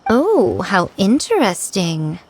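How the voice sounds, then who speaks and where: A woman's voice: mid-range voice, wildly swooping pitch, slow pace, a little mellow, slightly rough, heavily acted. A woman voicing a sly, poised shrine maiden in a video game, with a teasing, nonchalant and condescending manner. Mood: amused, teasing.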